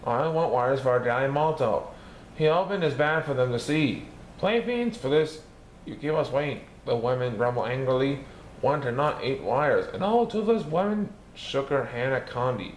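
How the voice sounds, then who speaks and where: A man reading a children's picture book aloud, lifting his voice high for the characters' lines. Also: moderate pace. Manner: lively, raising his pitch for characters' lines. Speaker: storybook reader. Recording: echoey room with faint background noise.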